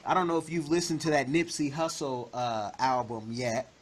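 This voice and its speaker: A man hosting an interview, chatty and advising, with jokes and loud laughs. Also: medium pitch, steady tone, moderate pace. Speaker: interview host. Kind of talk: casual interview chat.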